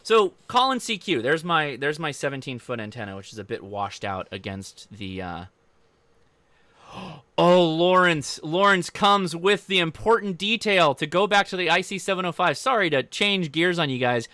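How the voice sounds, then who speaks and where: Bright, clear-voiced American man, casual livestream host. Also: on the high side, animated, moderate pace, dry recording. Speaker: host of a ham radio channel. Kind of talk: casual livestream chat, answering viewer comments.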